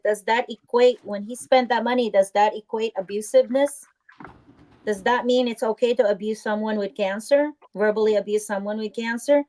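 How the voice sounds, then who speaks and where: A woman talks in a casual live stream discussion. Her voice sits at an ordinary middle pitch, and she speaks informally and bluntly, with an indignant edge. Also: mellow, rough recording.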